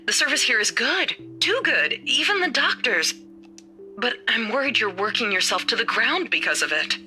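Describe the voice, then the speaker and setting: A woman's voice: on the high side, very animated, moderate pace, bright, forceful tone, soft background music, exaggerated voice. An actress playing a worried, loving mother of a grown daughter in a visual novel game.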